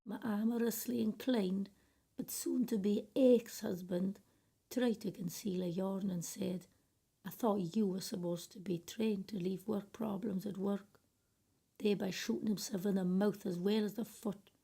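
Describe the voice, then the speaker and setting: A woman playing a wry, weary professional woman in a radio play monologue, confiding drily in a husky, breathy, creaky voice. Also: medium pitch, steady tone, measured pace, warm tone.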